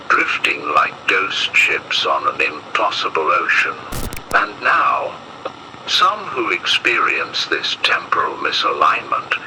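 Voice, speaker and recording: A man playing a grave emergency broadcast announcer in an analog horror piece, speaking in a solemn, ominous, deliberate way. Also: deep voice, background noise, exaggerated voice.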